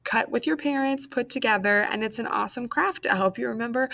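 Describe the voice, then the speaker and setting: A woman's voice: a little high, fairly quick, vocal fry, fairly bright tone. An American woman speaking as a children's librarian at storytime, encouraging and addressing young listeners as friends.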